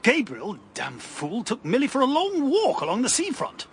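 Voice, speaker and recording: An actor in a radio drama playing a fussy, easily alarmed political campaigner with an upper-class English accent and a blustering manner. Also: male voice, very high-pitched, wildly swooping pitch, normal speed, slight background noise, full character voice. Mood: exasperated. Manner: blustering, emphatic.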